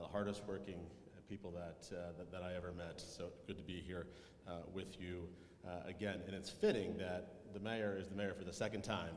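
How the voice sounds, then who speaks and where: Deep-voiced man at a hall podium. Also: slight background noise. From Connecticut. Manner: casual, with many fillers and restarts. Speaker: senator's office representative. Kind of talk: ceremony speech. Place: large hall with high ceiling. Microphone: podium microphone. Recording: echoey hall with long reverb.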